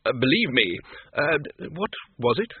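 A man voicing a bossy male head wizard in an audiobook. He blusters, snaps and shouts his orders. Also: mid-range voice, very animated, measured pace, breathy edge, dry recording, heavily acted. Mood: blustering. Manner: blustering and commanding. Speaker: Arch-Chancellor. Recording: narrow phone-like sound.

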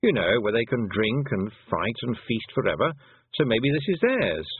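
A talking toad, voiced by a man reading aloud that sounds dry and lawyerly, with every point made matter-of-factly. The voice is husky and dry, and it comes through a tinny phone-like sound. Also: medium pitch, sing-song, normal speed, no room sound.

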